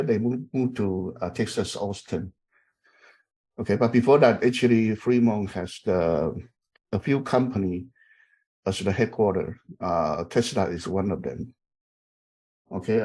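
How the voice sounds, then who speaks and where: Halting, mellow-voiced older instructor. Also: male voice, fairly low pitch, breathy edge, rough recording. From Taiwan. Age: middle-aged. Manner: halting, many fillers and repeated okays. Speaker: university instructor. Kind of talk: online class, informal chatting. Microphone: video call.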